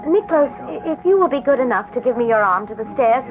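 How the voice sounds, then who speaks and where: Through a thin, phone-like old radio recording comes a haunted society widow. Her voice is fairly high and breathless, faltering with melodramatic anxiety as she pleads and schemes. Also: lively intonation, fast, music in the background. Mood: guarded, faint. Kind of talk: old radio drama acting.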